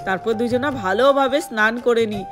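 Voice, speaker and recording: A woman's voice: fairly high pitch, moderate pace, fairly bright tone. A woman narrating a doll story for children, with music behind her.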